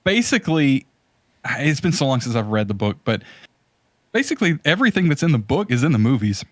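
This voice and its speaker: Male podcast host, mid-range voice, dry close sound, casual and rambling delivery with halting searches for the right word. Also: medium pitch, big pitch swings, no room sound.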